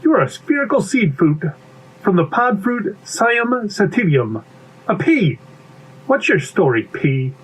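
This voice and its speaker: A man's voice: high-pitched, very animated, a little slow, slightly rough, voice pushed up, heavily acted, low-quality recording. A man voicing a brash, chatty bee with a pompous, lecturing manner in a children's storybook read-aloud. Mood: snooty, curious.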